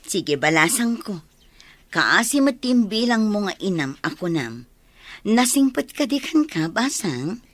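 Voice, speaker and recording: A woman's voice: mid-range voice, big pitch swings, unhurried, no echo. An actress playing a kindly, sympathetic older village woman in a radio drama. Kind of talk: Ilocano radio drama acting.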